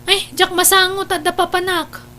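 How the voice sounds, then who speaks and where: A woman with an Ilocano accent playing a devout, gently scolding younger sister in a radio comedy drama. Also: extremely high pitch, flat delivery, moderate pace. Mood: brisk.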